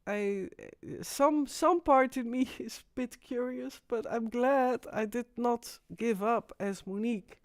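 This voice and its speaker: A Dutch woman hosting a live stream, talking in a rambling, halting way with frequent restarts. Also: a little high, no room sound, clean studio sound. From Netherlands. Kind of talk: casual live stream monologue.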